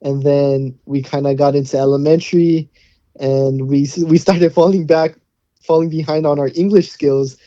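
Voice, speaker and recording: A man's voice: fairly high pitch, steady tone, normal speed, dark tone, no echo, muffled recording. A young man from Hawaii, a college football player, answering interview questions in an easygoing way with many fillers and restarts. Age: young adult.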